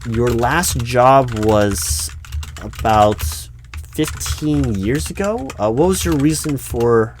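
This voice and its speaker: A man acts out a corporate recruiting manager in an audio roleplay, speaking at a middling pitch. He is courteous and formal but awkward, often stammering as he feels his way through the interview. Keyboard typing and light background noise sit behind the voice. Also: animated, slow pace. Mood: politely probing. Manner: hesitant, stammering, politely formal. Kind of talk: one-sided audio roleplay, loud spoken ASMR. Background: computer keyboard typing.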